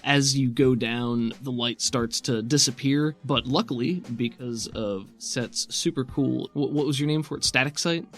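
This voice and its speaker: A man's voice: medium pitch, a little slow, few pauses, fairly bright tone. Male game master, casual improvised scene narration, encouraging manner, music underneath. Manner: encouraging, affirming.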